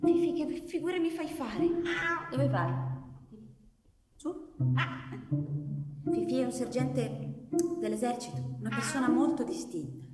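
Coy, courteous young woman, radio drama, high hesitant voice, echoey room, music underneath. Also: expressive, slow, pauses often, mellow. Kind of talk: theatrical radio drama acting. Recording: echoey hall, music behind.

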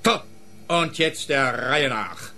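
An actor playing a brusque, sarcastic police investigator who talks in curt bursts. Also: male voice, mid-range voice, lively intonation, takes it slowly, bright, forceful tone, full character voice.

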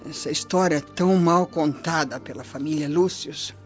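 An actress playing a vengeful, drunken Roman widow who schemes, with theatrical delivery in a radio drama. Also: female voice, low voice, moderate pace, natural voice. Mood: scheming resolve. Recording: dull lo-fi audio with music behind.

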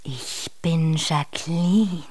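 An actress playing an odd, blunt country servant woman in a radio drama, speaking in a deliberate, repetitive way. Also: sits low, a little mellow, husky, heavily acted. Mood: flat, submissive. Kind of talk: German radio drama acting.

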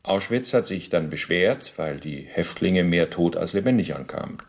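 A low-voiced, officious war criminal. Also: male voice, expressive, normal speed. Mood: matter-of-fact. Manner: formal, officious, clipped official phrasing. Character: male Nazi war criminal under interrogation, bureaucratic, self-justifying. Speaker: actor in a radio play. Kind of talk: German radio docudrama interrogation acting.

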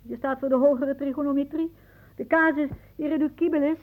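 An actress playing a sardonic, rambling old aunt in a radio play, given to suggestive musings and muttering. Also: female voice, sits high, steady tone, a little fast, close to an everyday voice. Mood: wry, suggestive. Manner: muttering musings, then calling out loudly. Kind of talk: theatrical radio play acting. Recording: narrow, tinny band like old radio.

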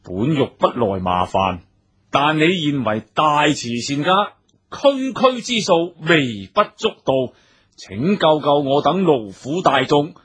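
An actor playing an anxious, easily flustered wealthy husband in a Cantonese radio drama, agitated and given to sighing and stammering. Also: male voice, fairly high pitch, very animated, slow, fairly bright tone, slightly rough, heavily acted. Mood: indignant.

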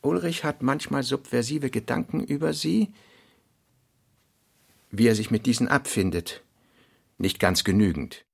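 A man narrates literary prose in a measured, reflective way. His mid-pitched voice has a gravelly creak, and the recording is dry and close, as in a radio production. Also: animated, no room sound.

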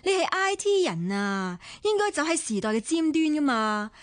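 An actress playing a sharp-tongued young woman with a Hong Kong Cantonese accent, lecturing and sarcastic. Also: sits high, big pitch swings, moderate pace, no room sound. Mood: scornful. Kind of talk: 1990s radio drama acting.

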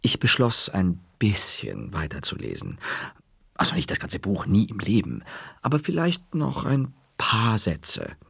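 Husky, gravelly male storyteller. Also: on the low side, a little slow, no room sound, clean studio sound. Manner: lively storytelling. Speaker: audiobook narrator. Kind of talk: first-person children's book reading.

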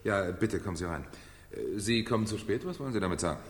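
An actor playing a shrewd, sardonic French police commissioner in a German radio crime drama, with a dry, probing manner. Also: male voice, deep voice, expressive, fast talker, background noise. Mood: courteous, businesslike.

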